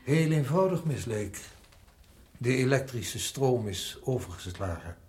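A man acting as a prison director in a radio drama. He has a medium-pitched voice and speaks deliberately, with sober, formal authority. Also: animated, a little mellow, natural voice. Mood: formal, explanatory.

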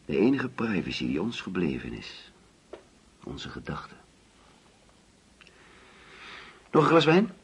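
An actor playing a genteel, anxious older husband in a radio play, talkative and patronising. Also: male voice, low-pitched, wildly swooping pitch, normal speed, slight background noise, exaggerated voice. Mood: hesitant, confiding. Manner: fussy, insistent, patronising. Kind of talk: Dutch radio play acting.

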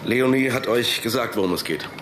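A man's voice: medium pitch, fairly quick, background noise, lightly acted. An actor playing a wary, formal male executive in a naturalistic radio drama. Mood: guarded.

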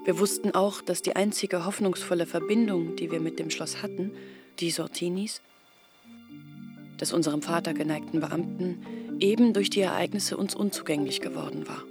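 A woman's voice: lowish voice, steady tone, moderate pace, over music, natural voice. An actress playing a young village woman, weary and bitter, earnestly recounting her family's disgrace in a radio play. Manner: quiet, earnest storytelling.

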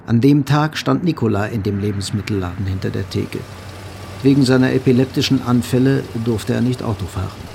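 A man's voice: medium pitch, brisk, gravelly, darkish tone, slight background noise. A man delivering scripted literary narration for a radio drama.

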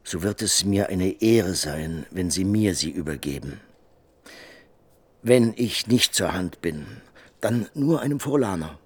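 An actor playing an obliging, talkative scene painter in a German radio drama, courteous and confiding, with a husky voice. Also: male voice, sits low, animated, measured pace, no echo. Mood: courteous, eager. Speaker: supporting actor.

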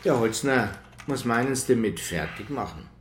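A man's voice: medium pitch, expressive, normal speed, a little hiss or hum, lightly acted. Man acting an officious police chief, echoey room. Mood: officious insistence. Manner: insistent, bureaucratic, dictating. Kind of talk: radio crime drama acting.

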